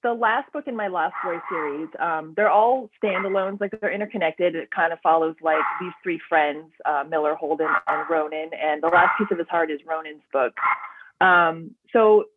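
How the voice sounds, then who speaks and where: A woman author talking about her books in a relaxed, rambling way, full of "um" and "like", over a remote call. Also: lowish voice, normal speed, very noisy. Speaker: author guest. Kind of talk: casual interview chat. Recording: tinny dry phone line.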